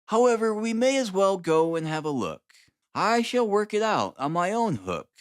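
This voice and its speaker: A man voicing a brisk, dryly superior detective in an audiobook, calm and clipped in manner. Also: sits high, clear, resonant tone, dry recording, studio quality, voice pushed up. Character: brisk, dryly superior male detective, languid then energetic. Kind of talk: dramatised audiobook reading of Victorian detective fiction.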